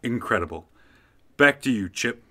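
Bright, animated field reporter. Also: male voice, mid-range voice, moderate pace. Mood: earnest. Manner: polished, broadcast-style. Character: earnest male field TV news reporter. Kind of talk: parody live news report.

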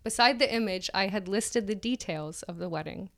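A woman's voice: medium pitch, moderate pace. Woman reading aloud with laughs. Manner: reads aloud, breaks into laughter and asides. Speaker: podcast co-host. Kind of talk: dramatic reading of an article with banter.

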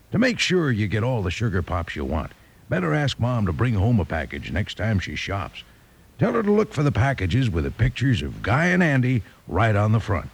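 A man doing a folksy, exuberant cowboy sales pitch in 1950s radio commercial style. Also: gravelly, slightly rough. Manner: exuberant, hammy cowboy drawling slang. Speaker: cereal ad pitchman.

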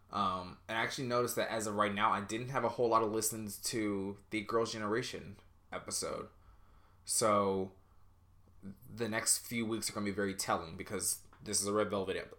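A man's voice: on the low side, sharp tone. A man hosting a solo podcast, rambling casually and self-deprecatingly with lots of fillers. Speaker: podcast host.

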